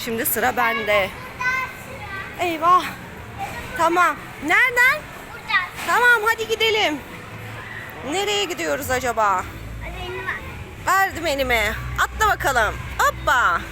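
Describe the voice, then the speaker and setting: Very high-pitched woman playing along, noisy background. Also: sing-song, slow, pauses often. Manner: exclamatory, coaxing, encouraging; physically active while talking. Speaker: family vlog host playing along with a child. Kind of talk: playful running commentary addressed to a child. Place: play park obstacle course. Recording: noisy play area ambience.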